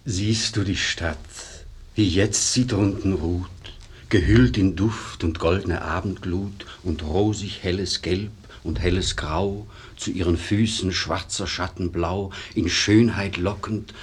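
Slow, lofty male aesthete. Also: low voice, breathy edge, exaggerated voice. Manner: measured, lofty declamation. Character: disdainful, refined male disciple of a master painter. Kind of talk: 1950s German radio verse drama.

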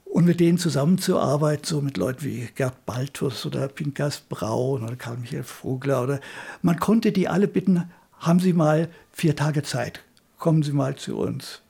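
An older man reminisces with enthusiasm in an informal interview. His voice is gravelly and husky, pitched a little high for a man. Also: warm tone. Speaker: retired radio drama director and editor.

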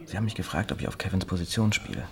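Eager young athlete in a TV drama, deep, hesitant, sincere, clear crowd sound behind. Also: male voice, expressive. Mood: earnest, determined. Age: young adult. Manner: hesitant, halting, earnest. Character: modest, eager young male footballer.

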